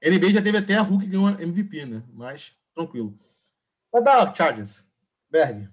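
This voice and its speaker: A man's voice: fairly high pitch, lively intonation, normal speed, husky. Playful man hosting a sports chat. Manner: playful, teasing, hands off questions. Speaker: podcast host leading the segments.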